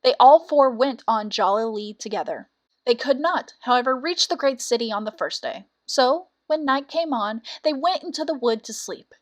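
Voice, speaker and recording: A woman's voice: high voice, lively intonation, moderate pace. A woman reading a fairy tale as a storyteller, in scripted narration.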